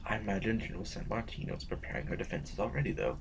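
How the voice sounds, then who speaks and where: A man's voice: fairly low pitch, sing-song, racing, vocal fry. A man playing a polite, anxious courtly official in an audio drama, formal, hesitant and deferential. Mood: polite, reassuring. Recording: background noise and ambience.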